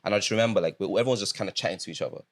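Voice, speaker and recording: Young male co-host from England, low voice, rapid delivery, laid-back and teasing. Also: over a noisy crowd. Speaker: podcast co-host. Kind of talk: casual chat.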